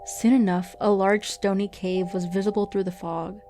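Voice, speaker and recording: A woman narrating a scripted radio drama in a measured storytelling manner. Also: medium pitch, level tone. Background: soft music.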